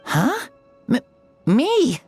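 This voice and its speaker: A woman voicing a frail, earnest young child who speaks dreamily and haltingly in an anime game. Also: high voice, wildly swooping pitch, a little mellow, faint music behind, full character voice. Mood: confused. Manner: hesitant, halting.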